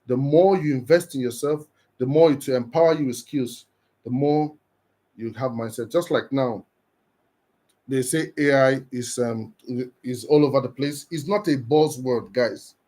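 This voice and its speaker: A man's voice: medium pitch, measured pace, dark tone. A man from Nigeria giving an earnest, encouraging motivational talk on a live stream, often repeating and restarting his words.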